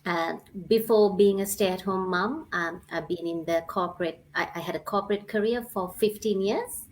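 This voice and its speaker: A woman in her forties, originally from Sri Lanka, gives a personal testimonial. She speaks hesitantly, filling her pauses with many ums and uhs, at a mid-range pitch. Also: a little hiss or hum, rough recording. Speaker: guest sharing her story.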